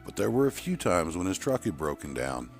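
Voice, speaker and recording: A man's voice: sits low, sing-song, brisk, soft background music. A man voicing a wistful woman who earnestly recalls her trucker father in an audio story. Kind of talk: acted dialogue in an audio story.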